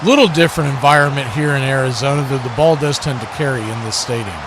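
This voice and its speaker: A man's voice: medium pitch, fast, heavy background noise. A man doing live play-by-play football commentary as the announcer.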